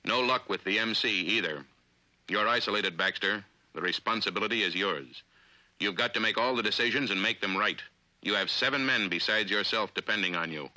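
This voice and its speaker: An American man narrating a 1950s instructional film in an authoritative, clipped, deliberate manner. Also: lowish voice, sharp tone, no room sound, rough recording.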